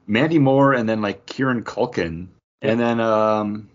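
A man's voice: on the low side, a little slow. A talkative, enthusiastic man bantering casually as a podcast panelist. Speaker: podcast panelist and quiz contestant. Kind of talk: casual chatty banter about films. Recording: dull lo-fi podcast audio.

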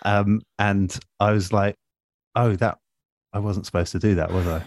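A man with a low-pitched voice, recorded close and dry, shares stories as an interview guest. His delivery is informal and halting, with a self-deprecating touch. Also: steady tone, darkish tone, dry recording. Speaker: radio producer and interview guest. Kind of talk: casual anecdotal chat.

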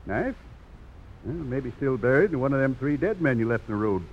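An actor playing a wry, folksy older country doctor for horses in a 1950s radio drama. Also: male voice, mid-range voice, lively intonation, fairly quick, natural voice. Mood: wry amusement. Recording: dull old radio broadcast, faint hiss.

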